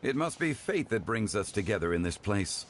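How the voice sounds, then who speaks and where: An actor playing a refined, courteous gentleman in a video game, speaking formally and eloquently with calm dignity. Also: on the low side, soft background music. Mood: warm courtesy. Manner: formal, eloquent, measured phrasing.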